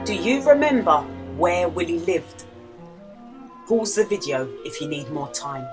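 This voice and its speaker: A woman reading a children's storybook aloud as a storyteller, with dramatic emphasis and deliberate pauses for young listeners. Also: lowish voice, lively intonation, over music. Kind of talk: expressive children's storybook read-aloud with quiz.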